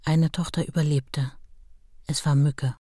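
An actress playing a devoted, self-sacrificing wife in a radio play, reading letters and memories in a plain, sincere, intimate way. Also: female voice, low voice, fairly even intonation, a little slow, mellow, husky, dry recording, clean studio sound. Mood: sorrowful.

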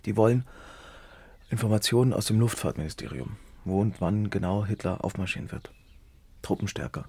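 Gravelly, mellow secretive resistance man. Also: on the low side, normal speed. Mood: confiding. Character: quiet, secretive male resistance radio operator. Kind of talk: naturalistic radio drama acting.

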